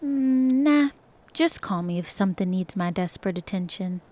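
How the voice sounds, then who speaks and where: A woman's voice: lowish voice, animated, moderate pace, dark tone, lightly acted. An actress playing a wry, blunt, anxious woman park worker in an audio drama.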